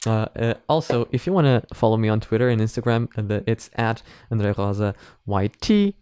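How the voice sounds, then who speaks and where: Clear-voiced man giving casual reaction commentary. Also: on the low side, expressive, a little fast, darkish tone. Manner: blunt, sweary, opinionated. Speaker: reaction video host.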